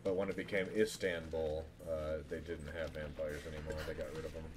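A man's voice: extremely low pitch, level tone, a little fast, background noise. A man doing casual, joking gameplay commentary as a streamer, talking to himself and swearing now and then. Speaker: gaming streamer. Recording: game music behind the voice. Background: loud video game music.

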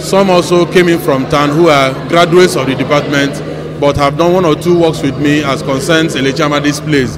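A middle-aged Nigerian man answers in an interview, speaking as a director. His voice is fairly high, with clear room noise behind it. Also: steady tone, brisk. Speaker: stage director and lecturer. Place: bare indoor backstage room. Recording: noisy room ambience.

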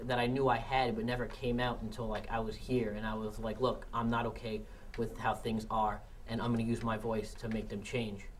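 Teen guy talking fast n animated, lots of likes, school library. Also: mid-range voice, slight background noise. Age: teens. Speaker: student. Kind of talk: casual interview then recited poem.

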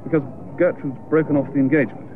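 An actor playing an earnest, lovelorn young upper-class English gentleman in a radio comedy, plaintive and politely understated. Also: on the high side, steady tone, quick pace, music in the background, close to an everyday voice. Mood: glum. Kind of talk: radio comedy drama acting. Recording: muffled, noisy radio play recording.

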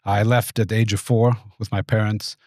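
A man in his forties, raised in the United States, giving thoughtful, measured interview answers in a small studio. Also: on the low side, level tone, darkish tone, no room sound. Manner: measured, thoughtful, with frequent restarts. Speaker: guest, venture capitalist. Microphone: studio mic on boom arm.

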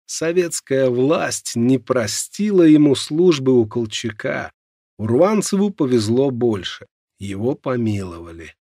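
A man with a gravelly, husky voice narrating a nonfiction audiobook in a measured, dramatic storytelling way. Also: mid-range voice, darkish tone, dry recording, clean studio sound.

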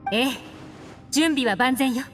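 A worldly, enigmatic woman investigator from a video game. She speaks in a poised, measured way at a fairly high pitch, with long reverb and music behind. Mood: composed. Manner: poised, measured, feminine speech.

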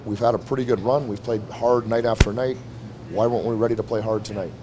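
A man's voice: fairly low pitch, fairly even intonation, quick pace, crowd noise, poor audio. Blunt middle-aged man, head coach. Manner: blunt, frank, repeats himself. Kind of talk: postgame press conference. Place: arena press room before a team backdrop. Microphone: several handheld mics held close.

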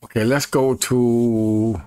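A man in his fifties with a husky voice, chatting casually to camera in a folksy way and repeating himself as he demonstrates. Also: mid-range voice, level tone, slow pace, dark tone. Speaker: evangelist and video creator. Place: small bedroom, indoors.

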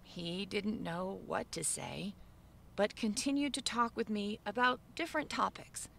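A woman narrates a first-person story for an animated video in a measured, subdued way. Her voice has a gravelly, creaky edge and sits at a middle pitch. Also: slight background noise.

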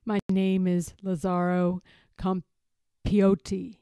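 Woman playing a resigned, mellow old man. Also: on the low side, completely flat delivery, slow pace, smooth, dry recording, clean studio sound, pitched below the performer's own voice. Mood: rueful. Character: old man, resigned artist's model, gently rueful. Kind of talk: children's storybook character voice.

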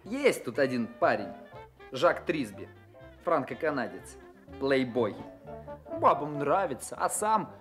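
An actor playing a chatty, cynical local man in a radio drama, slangy and easily excited. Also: mid-range voice, takes it slowly, long pauses. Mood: exasperated. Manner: slangy, expressive, gossipy. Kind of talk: Soviet-style radio drama acting. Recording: music behind the dialogue.

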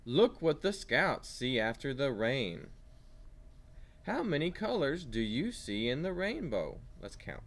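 A man teaching kindergarteners with an animated read-aloud, asking simple questions as he goes. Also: mid-range voice, measured pace, creaky. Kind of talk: read-aloud lesson for young children.

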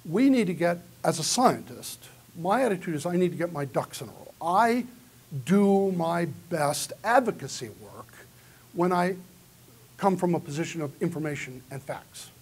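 A man's voice: a little high, expressive, normal speed, breathy edge. A man giving a conference keynote in a large hall, lecturing earnestly and taking audience questions. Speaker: keynote speaker. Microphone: far camera in the audience.

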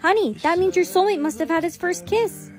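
A woman's voice: very high-pitched, fairly quick, soft background music. A woman playing a caring, dramatic female figure who explains things expressively in a short melodrama skit. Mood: gentle explaining. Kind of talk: acted short melodrama skits.

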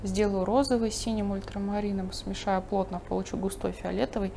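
Woman art teacher, calm running commentary while painting; recording has a clear hiss. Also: mid-range voice, brisk. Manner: thinking aloud while painting, calm and explanatory. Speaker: painting instructor. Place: studio table, overhead camera on the painting.

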